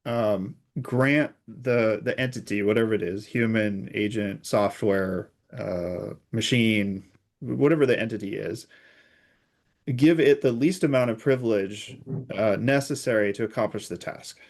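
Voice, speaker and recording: A man's voice: fairly low pitch, a little slow. A man speaking as an expert guest in an interview, explaining technical concepts through hypothetical examples. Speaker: expert guest, security researcher.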